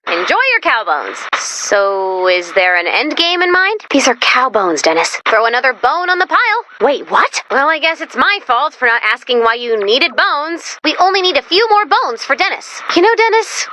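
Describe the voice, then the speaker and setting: A woman's voice: sits high, sharp tone, heavily acted, rough recording. A woman voicing a perky, nervous, geeky female robot assistant in a video game, chatty, quirky and easily flustered. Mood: wry, awkward. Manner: flustered, quirky, halting then gushing. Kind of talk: animated video game character voice lines.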